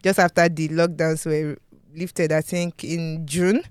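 Yoruba-accented podcast host, smooth voice. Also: mid-range voice, little pitch movement, a little slow, no echo. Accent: Yoruba-accented Nigerian English. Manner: hesitant, many ums, laughs often. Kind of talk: casual studio chat, reading news. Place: podcast studio.

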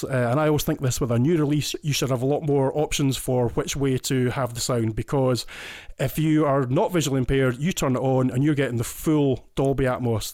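A man's voice: medium pitch, darkish tone, no echo. Enthusiastic Scottish man, dry sound. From Scotland. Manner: enthusiastic, explains in detail with frequent restarts. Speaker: guest listener. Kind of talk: casual podcast chat.

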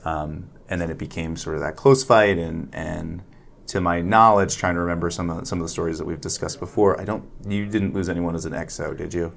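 Male host with a low, gravelly voice. Casual, gently probing interviewer. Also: fairly even intonation, fairly quick. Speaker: podcast host. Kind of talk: conversational interviewing.

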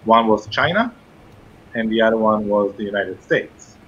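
Husky man, forties, Israeli accent, careful hesitant explainer, muffled remote audio. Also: lowish voice, animated, normal speed, fairly bright tone. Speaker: guest, fitness trainer and shiatsu practitioner. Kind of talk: casual interview.

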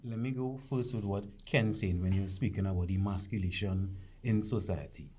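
A man in casual discussion, laying out his argument in a measured way. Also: on the low side, a little mellow, breathy edge. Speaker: discussion panelist.

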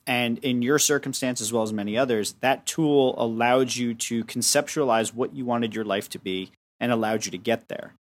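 A young man hosts a podcast in a voice of ordinary middle pitch. He talks in long, winding sentences with small restarts, recapping what his guest said before he moves to the next question. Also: moderate pace, few pauses. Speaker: podcast host. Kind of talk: casual interview chat.

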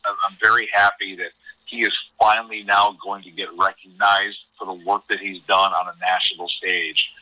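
A man's voice: low-pitched, level tone, moderate pace, bright, forceful tone, poor audio. An American man giving earnest, emphatic sports analysis in a conversational style as a guest commentator, often repeating words as he goes. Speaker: college hockey commentator as podcast guest.

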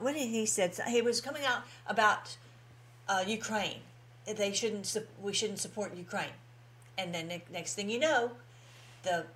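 A woman's voice: mid-range voice, fairly bright tone. Emphatic, folksy woman giving informal commentary. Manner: folksy, emphatic, repeats phrases for stress. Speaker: host of a political commentary show. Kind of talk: informal political commentary to followers, reading out posts.

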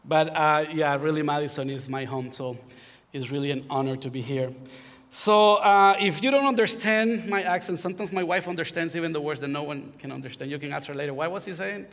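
Honduran pastor preaching in a reverberant hall. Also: male voice, a little high, fairly quick. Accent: Honduran Spanish. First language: Spanish. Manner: warm self-deprecating humor, rising to fervent preaching. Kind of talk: sermon. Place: church sanctuary.

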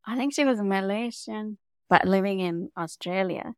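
A middle-aged woman from Sri Lanka with a Sri Lankan accent, a native Tamil speaker, telling her story as an interview guest and growing animated as she goes. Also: normal speed, clear, resonant tone, no room sound, clean studio sound. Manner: animated, rising in pitch and volume when telling a story. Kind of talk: conversational storytelling.

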